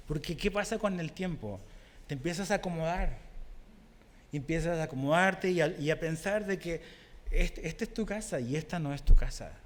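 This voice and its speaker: Chilean pastor guy, bit mexican sounding, chatty sermon w lots of questions, echoing church. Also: fairly high pitch. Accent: Chilean Spanish with Mexican touches. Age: young adult. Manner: conversational, asks the congregation questions. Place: church hall.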